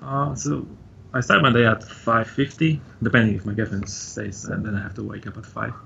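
A young man from Poland with a Polish accent, chatting as an interview guest, halting and full of fillers. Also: mid-range voice, fairly even intonation, gravelly, sharp tone, highs cut off, a little hiss or hum. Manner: halting, uses many fillers like "like". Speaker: guest, gym member. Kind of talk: casual interview chat.

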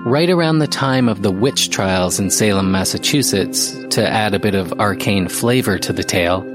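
An actor playing an investigative podcast host, narrating a mystery audio drama in a measured way with deliberate pauses. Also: male voice, lowish voice, natural voice. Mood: ominous, measured. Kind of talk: scripted audio drama narration, documentary style. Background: music.

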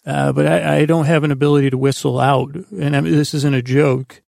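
A man with a creaky, gravelly voice hosting a sleep podcast in a meandering, halting, self-deprecating monologue full of tangents. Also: fairly high pitch, fairly even intonation, a little mellow, no echo, studio quality. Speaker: podcast host.

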